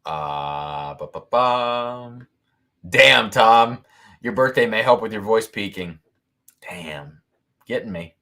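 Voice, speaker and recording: A man hosting a whiskey-tasting livestream, talking loudly and excitedly, laughing often and reading viewer comments aloud into a boom mic. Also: slow. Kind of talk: casual live-stream chat. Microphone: boom mic moved back from mouth.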